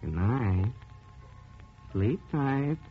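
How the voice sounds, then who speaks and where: A man hosting a 1940s radio mystery program, giving a late-night sign-off in an unhurried announcer's style. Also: medium pitch, lively intonation. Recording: tinny 1940s radio, faint music.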